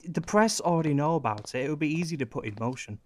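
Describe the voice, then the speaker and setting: A man's voice: a little high, expressive, fast, lightly acted. A man playing a wry, sly political aide in a radio play, with a colloquial, needling manner. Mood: wry, scheming.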